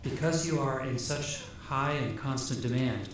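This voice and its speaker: A man's voice: medium pitch, unhurried. A man formally reading out a scripted award citation as a university provost. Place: large ceremony hall. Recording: very echoey hall, faint noise.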